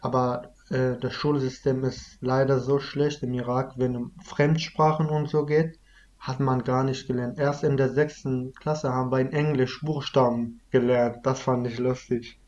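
Young man as interviewee, halting and earnest, mid-pitched, a little lo-fi. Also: little pitch movement, a little mellow. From Iraq. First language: Kurdish. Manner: hesitant, many restarts and fillers. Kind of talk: casual conversation.

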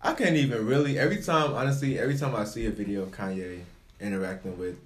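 Male podcast co-host, mid-pitched, blunt and opinionated, profane casual slang, roomy echoey sound. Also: fast talker.

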